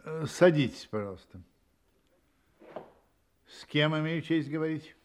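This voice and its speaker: A lively, self-assured detective. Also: male voice, a little high. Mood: courteous, firm. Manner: brisk, prompting, theatrically confident. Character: shrewd, self-assured gentleman detective, quick and amused. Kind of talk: Russian audio play acting.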